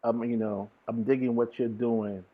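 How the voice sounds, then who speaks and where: Male podcast guest, slangy and sincere, husky voice, dull video-call audio. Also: mid-range voice, fairly even intonation, moderate pace. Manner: earnest, informal slangy talk. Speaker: interview guest, DJ and music producer. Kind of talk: casual chat.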